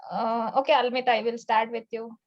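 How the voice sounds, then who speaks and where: Woman instructor, fairly high voice, casual, repeats okay, muffled call audio. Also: level tone, fairly quick. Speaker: course instructor. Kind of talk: leading class introductions.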